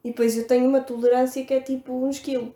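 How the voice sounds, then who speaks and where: A woman's voice: high-pitched, little pitch movement, fast talker, dark tone. Young Portuguese woman, playful banter. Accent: European Portuguese. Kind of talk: casual chat. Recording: echoey room.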